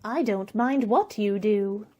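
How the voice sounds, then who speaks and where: A woman playing a sharp-tongued, suspicious society woman in a radio mystery play, sneering and blunt. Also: medium pitch, slow. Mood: scornful. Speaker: actor in an amateur radio play. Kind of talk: radio mystery drama acting.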